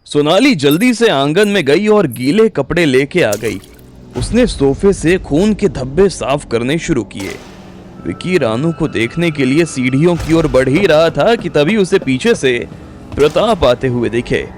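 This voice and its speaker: A man's voice: on the high side, animated, unhurried, a little hiss or hum. A man narrating a scripted audio drama story.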